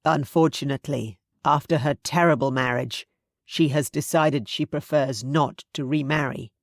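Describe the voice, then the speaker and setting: Woman doing low earnest gentleman officer for an audiobook, sincere, restrained, no room sound. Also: fairly even intonation, moderate pace, no room sound, clean studio sound. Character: earnest adult son, aristocratic former army officer.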